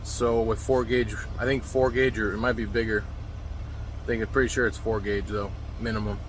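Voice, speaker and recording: A man's voice: lowish voice, level tone, moderate pace, a little hiss or hum. A man talking informally in a rambling, plain-spoken way, giving an unscripted how-to walkthrough on a handheld recording in a truck cab. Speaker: truck driver filming a DIY install video. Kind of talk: casual unscripted how-to vlog. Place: inside a semi truck cab.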